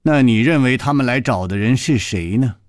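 A man's voice: medium pitch, lively intonation, normal speed, no echo, studio quality, voice pushed down, close to an everyday voice. A man playing a jealous, volatile, suspicious lover in a martial-arts story, with probing, demanding speech.

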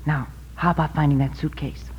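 A woman's voice: sits low, steady tone, a little fast, lightly acted. A plucky young woman played by an actress, wry and loyal though frightened, in the manner of 1940s radio drama. The recording is dull and slightly noisy, like an old broadcast. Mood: nervous, wry.

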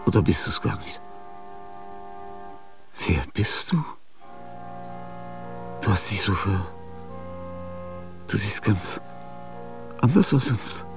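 An older man acting in a radio play, with a husky, gravelly voice and a halting, dreamlike monologue that slips into whispers. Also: fairly low pitch, animated, distant mic, music in the background. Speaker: radio drama actor.

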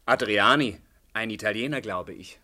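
A man's voice: on the low side, moderate pace, sharp tone. An actor playing a restless, irritable young male student in a German radio play, brusque and moody. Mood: bored, dismissive.

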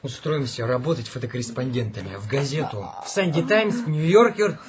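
A man plays an unborn boy, indignant and determined to run away. He speaks at a middling pitch with emphatic, bossy declamation in radio drama style, over slight noise. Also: lively intonation, a little fast, lightly acted. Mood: indignant, urgent. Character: headstrong, indignant unborn boy plotting escape to America. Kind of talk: radio play acting.

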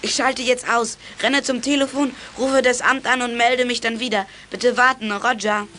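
A woman's voice: fairly high pitch, fairly even intonation, normal speed, pushed and bright, faint music behind. A woman playing an eager, conscientious schoolboy radio amateur in a German children's radio play. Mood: brisk, businesslike.

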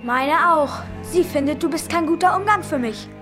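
A woman's voice: high voice, moderate pace, music in the background, natural voice. A woman playing a naive, timid, chatty young boy in a German audio drama. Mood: naive candour.

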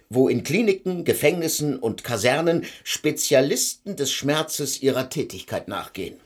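A man playing a husky, sardonic intellectual. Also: mid-range voice. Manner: sharp, analytical, sardonic. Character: skeptical male intellectual arguing with a conductor. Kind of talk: radio play acting.

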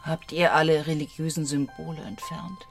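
A woman's voice: deep voice, fairly even intonation, moderate pace, gravelly, faint music behind. An actress playing a stern, commanding woman with an imperious, severe manner in a radio drama. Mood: grave. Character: stern, commanding woman, guardian and feared death-bringer.

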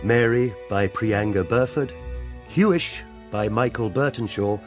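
Low-voiced male credits announcer over music. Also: a little slow. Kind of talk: scripted credits reading. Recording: music behind the voice.

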